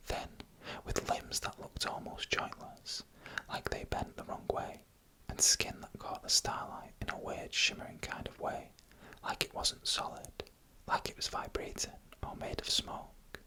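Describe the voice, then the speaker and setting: Bright, whispering ASMR storyteller. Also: rough recording. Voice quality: breathy unvoiced whisper. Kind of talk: whispered ASMR narration of scary first-person stories.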